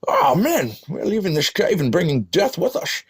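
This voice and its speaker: A man voicing a fierce, impatient warrior king in a fantasy game tale, husky and gravelly. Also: sits high, huge pitch swings, moderate pace, heavily acted. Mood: rallying, defiant.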